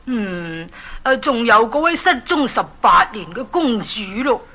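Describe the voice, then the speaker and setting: A mysterious seer in a children's radio drama, addressing royalty with ceremony. The voice is fairly high and piercing. The phrasing is hesitant and drawn out, as if guarding secrets. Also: female voice, very animated, a little slow, sharp tone, exaggerated voice. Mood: knowing, deferential. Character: mysterious all-knowing prophet, unhurried and deferential.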